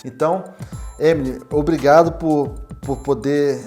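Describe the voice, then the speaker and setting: A Brazilian man with a fairly high voice hosts an informal interview. He speaks haltingly, repeating words and checking for agreement with little tags, with music behind him. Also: steady tone, warm tone. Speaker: interviewer, fellow missionary.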